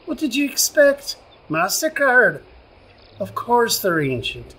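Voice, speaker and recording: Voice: mid-range voice, big pitch swings, slow, sharp tone, breathy edge, exaggerated voice. An actor in a radio play voicing a grumpy, suspicious little leprechaun with an Irish accent, blustering and insulting.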